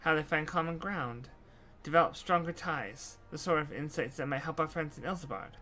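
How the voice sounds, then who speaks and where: A man's voice: on the high side, moderate pace, pushed and bright. Young scholar adventurer voiced by a man, articulate, earnest game dialogue over music. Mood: diplomatic, earnest. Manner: formal, articulate. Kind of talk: scripted game dialogue read-through.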